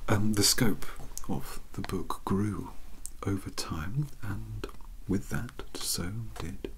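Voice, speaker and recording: A man with a British accent playing a bookish, polite young occult mentor in a soft-spoken, breathy ASMR roleplay, with formal, hesitant phrasing. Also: fairly low pitch, slow, a little hiss or hum, rough recording. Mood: earnest, scholarly. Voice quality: breathy, whispery. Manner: scholarly, formal, hesitant, wordy.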